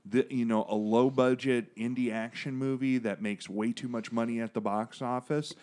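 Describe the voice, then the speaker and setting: A man's voice: mid-range voice, steady tone, normal speed, darkish tone. A man co-hosting a casual podcast, rambling through digressions with lots of fillers and jokey, profane asides. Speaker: podcast co-host. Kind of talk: casual podcast chat about comics.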